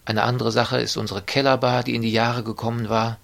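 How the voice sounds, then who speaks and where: A man giving measured, formal interview answers with frequent hesitations. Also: medium pitch, level tone, creaky. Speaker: interviewee describing the student residence.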